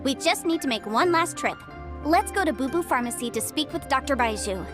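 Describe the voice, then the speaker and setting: High, brisk young legal adviser. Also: female voice, animated. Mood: determined. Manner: precise, formal, businesslike questioning. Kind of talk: video game voice acting. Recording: music behind the voice.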